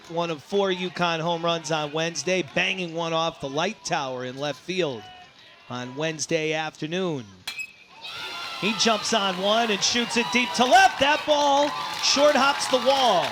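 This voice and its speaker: A man calling live baseball play-by-play, descriptive between plays and excitable on big ones. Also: high voice, unhurried. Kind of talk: live sports play-by-play commentary. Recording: crowd and music behind commentary. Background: ballpark crowd, music.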